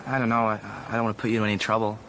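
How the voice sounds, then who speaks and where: A man's voice: fairly low pitch, little pitch movement, very fast, fairly bright tone, lightly acted. An actor with an American accent playing a guarded, polite, awkward teenage boy in a radio drama, hesitant and deferential. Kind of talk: naturalistic radio drama dialogue. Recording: clearly noisy background ambience.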